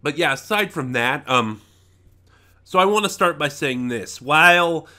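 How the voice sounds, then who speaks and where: A man giving a casual, unscripted review, speaking emphatically in a halting way, often trailing off and regrouping mid-thought. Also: a little high, lively intonation, bright, forceful tone. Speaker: online reviewer.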